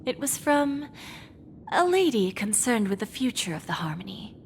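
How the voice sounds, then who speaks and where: Poised, shrewd pawnshop boss, music behind. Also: female voice, fairly high pitch, very animated, a little mellow, long reverb tail. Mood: measured, knowing. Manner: smooth, deliberate, teasingly courteous. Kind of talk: video game voice acting. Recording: background music under the voice.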